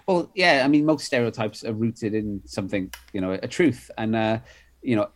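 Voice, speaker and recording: A man's voice: fairly low pitch, normal speed. A middle-aged man from Wales, an author guest in a conversational interview, talking in long rambling runs with many restarts and fillers.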